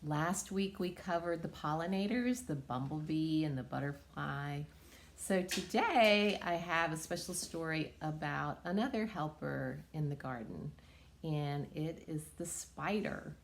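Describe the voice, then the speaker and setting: A woman presenting story time for young children, reading a picture book aloud in a measured, expressive way. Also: sits low, a little hiss or hum.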